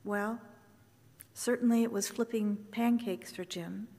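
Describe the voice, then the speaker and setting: A woman minister giving a gentle, reflective funeral sermon from the pulpit in a large church. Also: a little high, fairly even intonation, a little slow, gravelly. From Canada. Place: large wooden church sanctuary with choir. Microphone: pulpit microphone from far camera. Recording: long church reverb.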